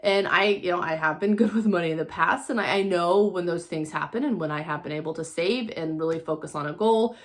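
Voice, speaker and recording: A woman's voice: on the low side, fairly quick, few pauses. A woman giving a casual, conversational motivational talk as a vlogger, repeating phrases for emphasis.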